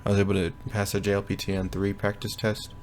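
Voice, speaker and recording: A young man giving a casual, rambling progress update from his bedroom, often pausing to think aloud. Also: on the low side, completely flat delivery, darkish tone, slight background noise. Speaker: language learner vlogger. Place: bedroom at home.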